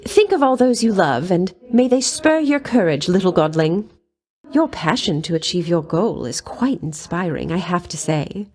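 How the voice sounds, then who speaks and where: An actress playing a flirtatious, doting goddess of love in a video game, with a coy, honeyed, theatrical delivery. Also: female voice, fairly low pitch, animated, moderate pace, darkish tone, close to an everyday voice. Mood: doting, teasing. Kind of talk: video game character voice lines.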